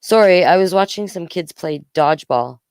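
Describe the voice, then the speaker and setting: Casual, teasing schoolgirl classmate for a storybook, clear voice nudged slightly upward, acted by the reader. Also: medium pitch, animated, normal speed, dry recording, pitched above the performer's own voice, lightly acted. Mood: sheepish, bright. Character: easygoing, joking schoolgirl, an inattentive classmate.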